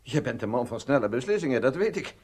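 A sceptical, astonished colleague in a radio drama. He is husky and animated, pressing his point in wordy, incredulous, colloquial phrases. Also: medium pitch, fast talker. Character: astonished, sceptical male colleague demanding explanations. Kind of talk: Dutch radio play acting.

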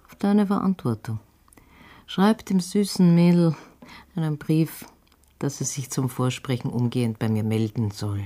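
An actress playing a bossy, sardonic theatre boss in a radio drama, blunt and commanding. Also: female voice, rumbling low, a little slow, warm tone. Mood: brisk, sardonic.

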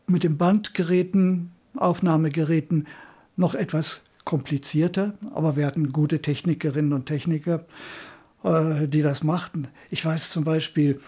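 An older man recalls past work in spontaneous interview talk. His voice is husky and mellow, pitched a little high for a man. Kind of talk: interview reminiscence, spontaneous storytelling.

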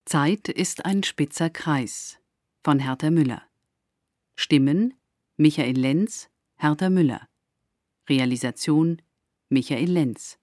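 A woman's voice: low-pitched, slow pace, long pauses, darkish tone, dry recording, clean studio sound. A woman announcer reading scripted credits for a radio play. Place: dry studio.

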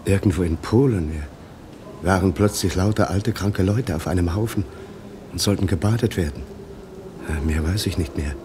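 A man playing an anxious elderly doctor in a German radio play, halting and insistent in his questioning. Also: deep voice, close to an everyday voice. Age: older. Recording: noisy radio play, ambience behind.

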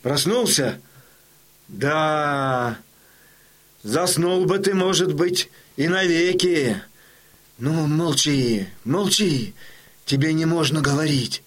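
Loyal Cossack companion, high voice, measured slow pace, gruff care, insistent, audio drama. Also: male voice, a bit bright. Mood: sorrowful, tender. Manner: scolding, insistent. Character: loyal Cossack comrade, gruffly tending a wounded friend.

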